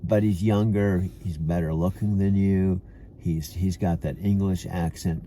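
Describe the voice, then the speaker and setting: A man's voice: low-pitched, fairly even intonation, measured pace, dark tone, a little hiss or hum. An older man with a Californian accent, rambling to himself from a parked car and calling himself "dude" with many restarts. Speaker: vlogger. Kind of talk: rambling self-talk monologue.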